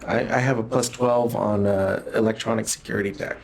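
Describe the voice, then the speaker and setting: Male tabletop player, offhand game chat, rapid delivery, echoey room recording. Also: fairly low pitch, steady tone, gravelly.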